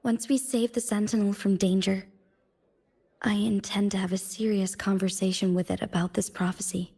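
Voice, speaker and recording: A woman's voice: medium pitch, steady tone, moderate pace, warm tone, breathy edge. An actress playing a dutiful young female city magistrate in a video game, speaking formally with composed resolve. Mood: grave, reflective. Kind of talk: scripted video game dialogue.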